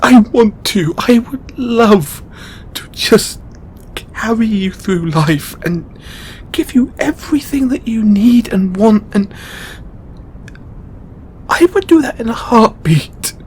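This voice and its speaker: Casting: male actor for a benevolent, overburdened god in audio roleplay; slow, halting, emotional delivery, some rumble in the recording. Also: animated, a little mellow, low-quality recording. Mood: earnest, sorrowful. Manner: halting, emotional, earnest pleading. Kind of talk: intimate dramatic audio roleplay monologue.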